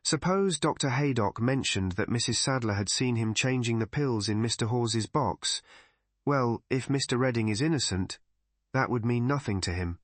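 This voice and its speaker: A shrewd, elderly lady sleuth of a cozy mystery audiobook, played by a male narrator. The delivery is calm, measured and methodical, laying out each deduction step by step. The sound is close and dry. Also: mid-range voice, dry recording, natural voice. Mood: gently scheming. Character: shrewd, calm elderly woman amateur sleuth explaining a murder.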